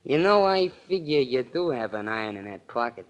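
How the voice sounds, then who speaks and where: A man's voice: mid-range voice, big pitch swings, fairly quick, highs cut off, lightly acted. Sneering young gangster played by a man, tough and slangy. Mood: cold menace. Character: menacing young gangster with a cold voice. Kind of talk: 1940s radio crime drama acting.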